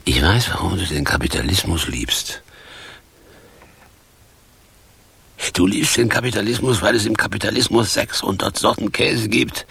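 An actor playing a bitter, boastful down-and-out former stage actor in a German radio play, with a theatrical, sardonic, crude manner and a gravelly voice. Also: male voice, fairly quick, bright, forceful tone, full character voice. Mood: needling, coaxing. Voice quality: very deep, gravelly, husky.

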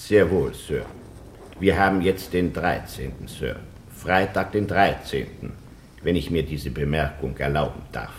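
Solemn butler, super low formal voice, echoey old radio play recording. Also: male voice, unhurried, a little hiss or hum. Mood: deferential, deadpan. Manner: formal, deadpan. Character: stiff, dutiful, solemn English butler. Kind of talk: 1950s German radio play acting.

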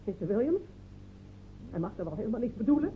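A fierce village woman in a radio drama, needling and sharp-tongued. Her pitch leaps around as she sneers. The recording is thin, tinny and faintly noisy. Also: big pitch swings, quick pace. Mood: indignant, menacing. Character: fierce, scornful village woman defending her dead man. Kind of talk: radio play acting.

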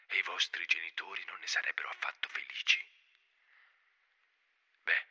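Casting: male storyteller for radio drama, deep, husky, whispery, sharp-edged, confiding and dramatic. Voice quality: breathy, whispery. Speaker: narrator. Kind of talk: theatrical scripted storytelling.

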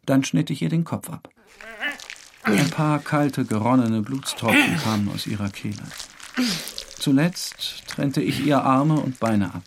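A man playing a disturbed, morbid painter who narrates in a brooding, deliberate literary monologue. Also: medium pitch, expressive, gravelly, noisy background. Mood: haunted, brooding.

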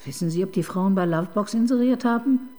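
An actress playing a sharp, sardonic middle-aged police detective in a radio crime drama, dry and ironic. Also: female voice, mid-range voice, fast talker, slight background noise, natural voice. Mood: businesslike. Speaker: detective chief inspector.

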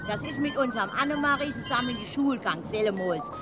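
A woman's voice: a little high, lively intonation, quick pace, music in the background, natural voice. An actress playing a chatty, rambling woman with an in a radio play. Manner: rambling, gossiping about relatives. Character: chatty homely dialect-speaking woman, gossipy.

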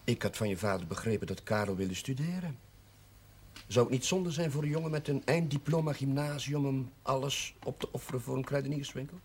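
An actor playing a kindly, persuasive village chaplain in a 1970s television drama, speaking in a measured, earnest way. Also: male voice, mid-range voice, close to an everyday voice. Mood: probing, earnest. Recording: noisy 1970s television soundtrack.

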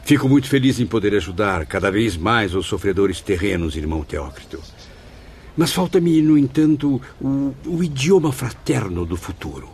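A man's voice: lowish voice, big pitch swings, moderate pace, a little mellow, a little hiss or hum. A man playing an earnest, grateful spirit student in a radio drama, speaking formally and reverently. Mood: grateful, humble. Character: Camilo, earnest, grateful male spirit student recounting his afterlife. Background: background music.

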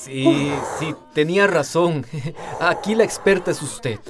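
A man's voice: on the high side, slow, music in the background. An actor playing a nervous, hesitant man delivering a baby in a radio soap, stammering with many false starts. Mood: hesitant, instructing.

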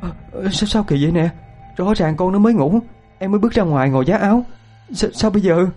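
A man's voice: animated, fairly quick, dark tone, slightly rough, soft background music. A man voicing a farmer's wife in a storytelling, an anxious young mother who stammers and pleads. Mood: panicked, stammering. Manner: stammering, pleading, high-strung.

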